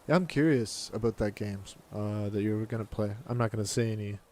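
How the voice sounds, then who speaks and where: Mellow laid-back male game streamer. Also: fairly low pitch, a little fast. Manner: laid-back, answers viewer chat aloud while playing. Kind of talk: casual live-stream chat.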